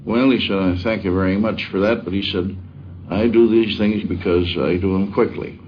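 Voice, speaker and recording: A plain-spoken man telling anecdotes about an old colleague in a folksy, halting, informal way. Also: low-pitched, steady tone. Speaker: former newspaper colleague. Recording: dull, noisy 1950s radio recording.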